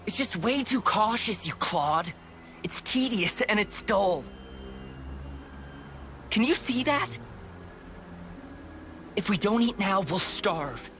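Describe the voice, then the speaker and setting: Bright, forceful, brash young soldier. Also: male voice, high-pitched, animated, slow pace, long pauses, slight background noise, exaggerated voice. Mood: irritated. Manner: blunt, taunting. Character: brash, impatient, hot-blooded young soldier. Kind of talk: video game voice acting.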